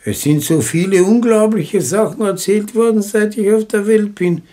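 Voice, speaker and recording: An actor playing a skeptical, stubborn Viennese caretaker in a German radio play, deliberate and ruminating, with a Viennese accent. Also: male voice, high voice, warm tone, lightly acted.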